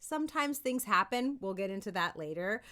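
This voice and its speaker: A woman giving an upbeat, conversational lesson as a voice acting coach, animated and encouraging, often checking in with listeners. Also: mid-range voice, brisk. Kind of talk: upbeat instructional talk to camera.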